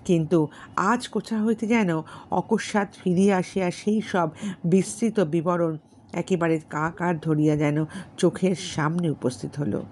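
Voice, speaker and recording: A woman's voice: fairly low pitch, fairly even intonation, unhurried, a little mellow, slight background noise. A woman reading a literary story aloud as an audiobook narrator, in scripted narration.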